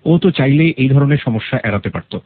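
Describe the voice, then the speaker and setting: A man's voice: mid-range voice, expressive, a little fast, narrow, tinny audio. A man with a Bangladeshi accent hosting a radio interview, asking probing questions and explaining points for listeners. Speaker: radio show host. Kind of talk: probing life-story interview, summarizing for listeners.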